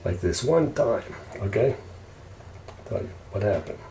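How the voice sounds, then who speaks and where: A man telling casual ASMR stories in an unhurried, rambling way. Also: on the low side, animated, creaky, mellow. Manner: unhurried, rambling family reminiscing. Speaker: ASMR storyteller. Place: indoors, roomy space while preparing food. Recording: roomy echo, faint background noise.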